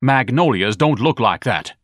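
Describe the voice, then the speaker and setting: A huge, pompous eccentric bellows his scorn in a raised, strained voice, as a male narrator puts him on. He sounds lofty and outraged, with the pitch swinging widely. The audiobook recording is dry and close. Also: big pitch swings, a little fast, no echo. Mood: pompous outrage. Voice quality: pompous, scornful, loud and shrill when enraged. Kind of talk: audiobook character voice.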